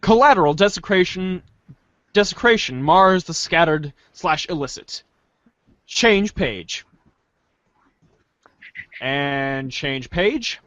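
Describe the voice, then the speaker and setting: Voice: medium pitch, animated, takes it slowly, pauses often, fairly bright tone, no echo, dull audio. A podcast host doing a playful, theatrical group reading of a webcomic script. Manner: playful, theatrical page-change calls.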